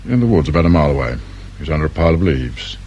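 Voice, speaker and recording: Radio drama detective inspector: English, middle-aged, brusque and grumbling, deep register, noisy ambience. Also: male voice, expressive, very fast, darkish tone, highs cut off. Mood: sober, official. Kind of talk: naturalistic British radio drama acting. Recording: clear background noise and ambience.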